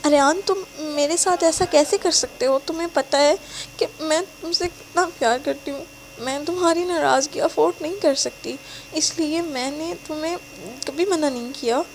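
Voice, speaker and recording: A woman's voice: little pitch movement, hardly any pauses, slight background noise, lightly acted, poor audio. A woman voicing a sobbing heartbroken girl. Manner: tearful, pleading, high-pitched.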